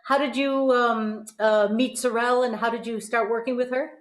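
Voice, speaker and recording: A woman's voice: fairly high pitch, steady tone, normal speed. A woman, middle-aged or older, teaching voice in a conversational, encouraging and explanatory way. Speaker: host and voice teacher. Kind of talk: conversational vocal coaching. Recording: roomy, echoing sound.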